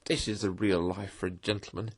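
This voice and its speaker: A man voicing a boastful, pompous male toad gentleman with a grand, self-satisfied manner. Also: low-pitched, fairly even intonation, a little fast. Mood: pleased.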